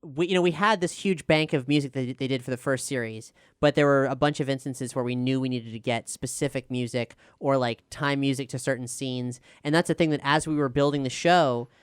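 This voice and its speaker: Clear, dry-sounding explainer voice, mid-pitched. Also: brisk, hardly any pauses, no echo. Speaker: showrunner and writer of an audio drama. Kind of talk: casual panel Q&A, explaining production process.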